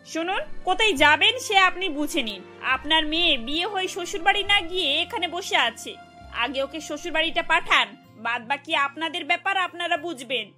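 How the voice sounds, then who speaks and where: A woman's voice: very high voice, expressive, normal speed, pushed and bright, faint music behind, full character voice. A woman playing a harsh, impatient landlord's wife in a cartoon, scolding and threatening. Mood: curt scolding. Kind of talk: cartoon voice acting.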